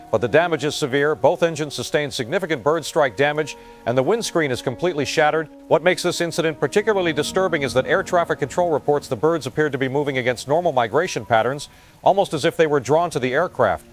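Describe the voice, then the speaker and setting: An actor playing a dramatic field reporter, delivering a serious, urgent scripted news report from a disaster. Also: male voice, on the high side, lightly acted.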